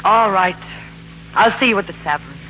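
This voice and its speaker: A woman's voice: mid-range voice, a little fast, sharp tone, slight background noise, close to an everyday voice, poor audio. An actress playing a coolly teasing, independent city woman in 1940s American radio drama, with dry banter. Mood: cool, reluctant. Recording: vintage 1940s radio broadcast with music.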